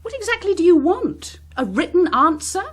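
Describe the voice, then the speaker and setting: A woman's voice: very high-pitched, very animated, normal speed, fairly bright tone, exaggerated voice. An actress playing a bitter, proud, defiant woman, sardonic and clipped, in a naturalistic political drama. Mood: bitter, angry.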